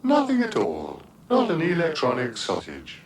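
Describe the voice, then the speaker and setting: An actor playing a gloomy, world-weary menial robot, morose and deadpan, in a science fiction radio comedy. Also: male voice, medium pitch, moderate pace, creaky, over a noisy crowd. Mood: bitter, self-pitying. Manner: morose, deadpan, long-suffering. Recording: archive radio drama with crowded sound effects.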